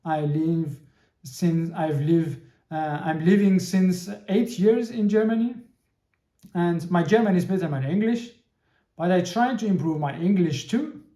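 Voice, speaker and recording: A man in his thirties hosts a solo podcast, his voice fairly high but dark and mellow. He speaks haltingly, repeating words and restarting his sentences as he hunts for the right phrase. The room around him rings with echo. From Iran. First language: Persian. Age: 30s. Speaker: podcast host.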